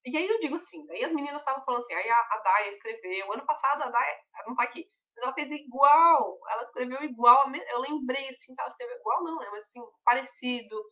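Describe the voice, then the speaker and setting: A woman guides a group through a mentoring session, her voice bright and fairly high. She explains patiently with everyday examples. The audio sounds distant and muffled, like an online call. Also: fast, hardly any pauses. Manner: conversational, reassuring, explains with examples. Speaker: weight-loss mentor.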